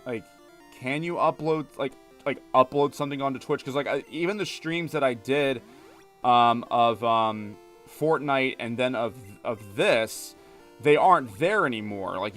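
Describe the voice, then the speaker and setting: A man's voice: mid-range voice, a bit bright. A young man live-streaming a game, rambling casually and joking with his chat. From United States. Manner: rambling, talkative, many fillers, reads chat aloud and jokes. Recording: music playing behind.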